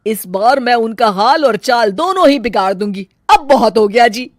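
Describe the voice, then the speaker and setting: Cartoon mother-in-law played by a woman: older, bitter schemer, sharp tongue, raised pitch, dramatic grumbling. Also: lively intonation, normal speed, dry recording, clean studio sound. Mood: indignant, scheming. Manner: grumbling, sarcastic, theatrical. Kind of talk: Hindi cartoon story dialogue.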